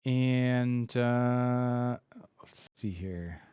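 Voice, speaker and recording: A man casting a live strategy game tournament, talking through tactics in a relaxed, thinking-aloud way. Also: mid-range voice, extremely slow, smooth, no room sound, lo-fi sound. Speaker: esports caster.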